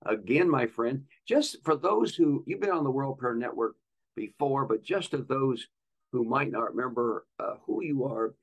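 Creaky-voiced man hosting an interview. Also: mid-range voice, expressive, moderate pace. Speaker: podcast host; pastor. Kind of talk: conversational interviewing.

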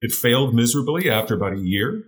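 A man in his forties with a deep voice recounts his path into business as an interview guest. He speaks earnestly and at an ordinary pitch, stumbling over repeated words as he gathers his thoughts. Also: expressive, fast talker. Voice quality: deeper voice. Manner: earnest, repeats words while searching for phrasing. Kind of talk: reflective storytelling in an interview.

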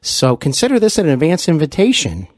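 A man hosting a podcast, speaking courteously and deliberately to guest and listeners. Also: on the high side, huge pitch swings, dry recording, studio quality. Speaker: podcast host. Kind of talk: interview hosting and sign-off address to listeners.

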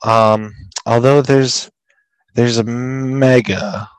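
A man's voice: medium pitch, fairly even intonation, extremely slow, smooth, dry recording, rough recording. A man co-hosting a casual sports chat while losing his voice, reading posts aloud and laughing often. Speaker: podcast co-host.

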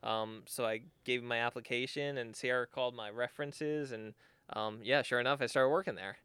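A young man at a middle pitch, his voice smooth and resonant, recorded dry and close. He tells his story in a loose, easygoing way, full of "like" and small laughs. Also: steady tone, moderate pace, bright, forceful tone, no echo. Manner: laid-back, rambling with fillers and chuckles. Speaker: guest sailor. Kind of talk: casual storytelling.

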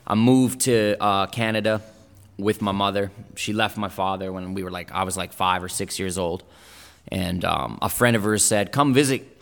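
A man's voice: low-pitched, moderate pace, creaky, a bit bright, big-room sound. A man whose first languages are German and Italian, a rapper guest talking in a laid-back, slangy way with lots of fillers and restarts. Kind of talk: casual interview chat.

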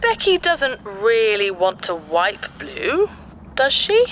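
A woman's voice: mid-range voice, wildly swooping pitch, slow, slight background noise. A woman playing a childlike, playful and mischievous spaceship computer in a sci-fi audio drama. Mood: wounded, wary. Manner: speaks of itself in the third person.

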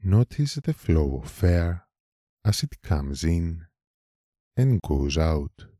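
A man leading a guided meditation in a calm, measured manner, leaving long pauses between short phrases. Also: deep voice, no echo, studio quality. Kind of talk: slow guided meditation narration.

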